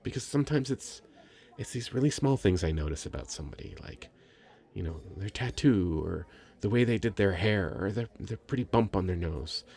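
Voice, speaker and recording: A man's voice: lowish voice, gravelly, darkish tone, breathy edge, exaggerated voice. A man playing a shy, sweet, nervous young nerd in an intimate ASMR roleplay, stammering and rambling self-consciously. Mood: awkward, sweet. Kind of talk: scripted ASMR roleplay, intimate conversational acting.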